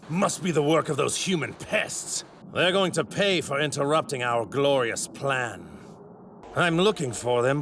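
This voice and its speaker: A man's voice: fairly high pitch, a bit bright. A man voicing an arrogant, godlike villain in an anime dub, pompous and taunting, savoring his grand words. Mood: irritated, vengeful. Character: arrogant divine villain in a stolen warrior body. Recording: ripped voice track with clear background noise.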